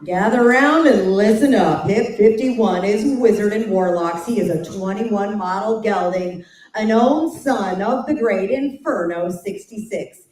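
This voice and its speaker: A woman's voice: medium pitch, unhurried, darkish tone. An American woman working as a horse auction announcer, presenting each lot and reading out pedigrees. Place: large indoor livestock sale barn. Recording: echoey auction barn PA, distant.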